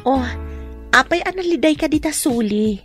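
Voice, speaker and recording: High-voiced Rita, wary young elder sister. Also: female voice, expressive, normal speed, a bit bright, faint music behind. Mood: puzzled, concerned. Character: Rita, young unmarried eldest sister, wary, dutiful, soft-hearted. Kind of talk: Ilocano radio drama acting.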